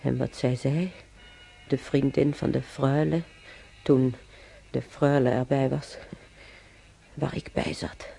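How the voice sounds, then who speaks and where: An actor playing an ailing older man in hospital, musing haltingly and bitterly in a naturalistic radio drama. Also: medium pitch, level tone, creaky, dark tone, husky, full character voice. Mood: bitter reminiscing. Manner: halting, musing, repeats himself. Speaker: hospital patient.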